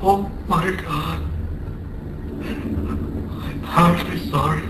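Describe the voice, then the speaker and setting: An actor playing a devout, anguished Irish sailor in a radio drama, pleading and emotional with a lilting delivery. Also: male voice, fairly high pitch, steady tone, extremely slow. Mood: grieving prayer. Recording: noisy background in radio play.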